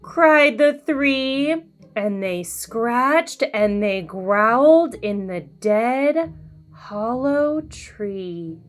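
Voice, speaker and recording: A woman hosting a children's read-aloud, telling a storybook in an expressive, sing-song way. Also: fairly high pitch. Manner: animated, slow and deliberate sing-song delivery.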